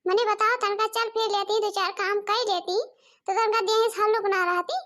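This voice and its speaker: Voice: extremely high pitch, flat delivery, moderate pace, pushed and bright. A cartoon performer voicing a bossy, complaining village mother-in-law with an Awadhi accent and a childlike voice, scolding and grumbling. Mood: indignant. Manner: scolding, grumbling, addresses viewers directly. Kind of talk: exaggerated cartoon comedy dialogue.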